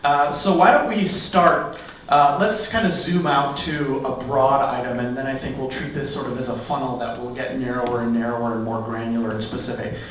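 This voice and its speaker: A man's voice: mid-range voice, fairly even intonation, normal speed, slight background noise. A man moderating a conference panel who politely frames long, careful questions. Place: conference stage in a large hall. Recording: echoey hall, distant mic.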